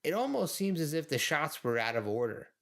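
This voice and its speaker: A man's voice: a little high, big pitch swings, a little fast, gravelly. A man co-hosting a podcast, giving casual, wryly amused commentary on an episode as he watches. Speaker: podcast co-host.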